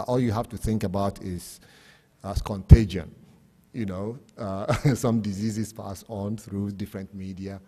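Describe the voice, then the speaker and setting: Man, Ghanaian accent, dark soft-edged tone, explanatory panel talk, plain-spoken, long hall reverb. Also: lowish voice, slightly rough. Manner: explanatory, plain-spoken, poses rhetorical questions. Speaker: academic panelist presenting on economics. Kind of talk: public panel presentation.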